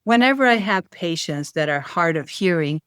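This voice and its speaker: A woman's voice: fairly low pitch, expressive, moderate pace, fairly bright tone, clear, resonant tone, no room sound, studio quality. A woman hosting an instructional video, giving plain and direct tips on caring for the voice. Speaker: host and voice-care instructor.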